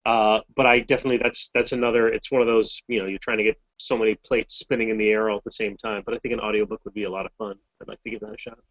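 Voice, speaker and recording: Low-voiced voice actor guy rambling through fan q&a, dry muffled call audio. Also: level tone, rapid-fire, hardly any pauses, fairly bright tone, dry recording. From Massachusetts, US. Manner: chatty, rambling, self-deprecating. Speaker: voice actor answering fan questions. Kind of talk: casual Q&A chat. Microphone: video call.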